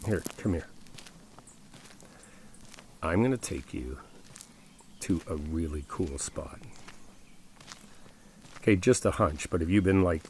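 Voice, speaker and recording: A man's voice: deep voice, expressive, takes it slowly, pauses often, a little hiss or hum. A man playing a caring, insistent best friend in an ASMR roleplay, talking straight to the listener in a casual, coaxing, reassuring way. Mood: gentle, coaxing. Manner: conversational, coaxing, addressing the listener directly. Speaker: ASMR roleplay audio performer.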